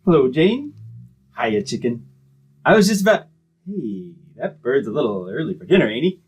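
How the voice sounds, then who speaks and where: A man acting a breezy, streetwise, cowardly schemer in an old-time radio comedy, wisecracking in slangy speech with a husky voice. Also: medium pitch, very animated, normal speed, a little mellow.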